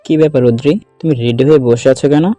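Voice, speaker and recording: A man's voice: on the high side, fairly even intonation, very fast, mellow, dry recording, faint music behind, natural voice. A man playing an easygoing, sensible young elder brother in an audio drama. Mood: casual. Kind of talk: dramatised audio story dialogue.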